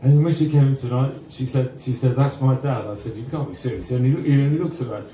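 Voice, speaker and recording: A man's voice: medium pitch, fast, dark tone, far from the mic. A man hosting a live event on a bar stage, introducing performers in a jovial, chatty showman's way. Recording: echoey, noisy bar recording from off.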